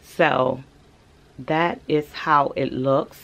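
A woman's voice: deep voice, fairly even intonation, drawn-out words, fairly bright tone. A woman, chatting casually to camera as a vlogger and calling her viewers "y'all". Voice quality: raspy.

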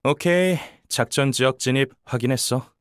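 A woman's voice: rumbling low, normal speed, dry recording, studio quality. A woman playing a cocky young military announcer in a game, informal and blunt, with teasing banter. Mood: casual, teasing. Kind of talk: scripted game voice acting, battle commentary.

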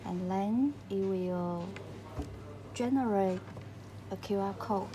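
A woman narrates a how-to tutorial at a very slow pace, one short step at a time. Her pitch is average for a woman and her phrasing is simple and halting. The recording has clear background noise. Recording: clearly noisy recording with room ambience.

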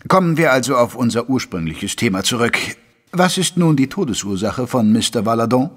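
A man playing an earnest Victorian doctor who narrates a detective audio drama in a measured, literary way. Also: mid-range voice, lively intonation, a bit bright, a little hiss or hum, natural voice. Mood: puzzled. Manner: measured, literary storytelling delivery. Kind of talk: scripted German audio drama narration with dialogue.

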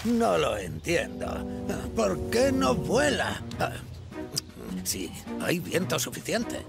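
A man's voice: high-pitched, huge pitch swings, takes it slowly, vocal fry, heavily acted. An actor playing an older, self-important male fire station chief in a dubbed cartoon, with a chuckling, pompous manner. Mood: bluff. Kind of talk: cartoon dubbing. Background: music.

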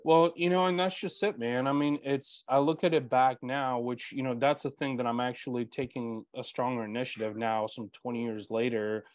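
A man's voice: medium pitch, steady tone, normal speed, few pauses, no echo. A man in his forties with a Bulgarian mother tongue, hosting an interview and telling his story earnestly, full of "you know" fillers, over earbuds on a video call. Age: 40s. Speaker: podcast host.

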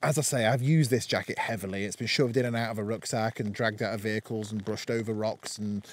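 A British man giving an informal gear review to camera in a measured, explanatory way. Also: on the low side, steady tone. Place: outdoors in damp woodland, under a tarp and by a campfire.

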